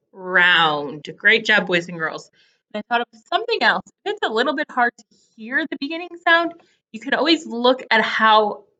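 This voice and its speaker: A woman teacher giving a phonics lesson to young children, deliberately sounding out words in pieces and repeating questions to check understanding. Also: fairly high pitch, sharp tone, lo-fi sound. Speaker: early elementary teacher.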